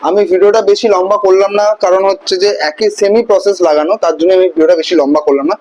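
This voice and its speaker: A man's voice: sits high, steady tone, very fast, darkish tone. A man walks viewers through a hands-on demonstration. He talks informally, like a casual vlogger, and often checks in with the viewer as he goes. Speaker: vlogger demonstrating motorcycle gear. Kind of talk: casual hands-on tutorial, step by step.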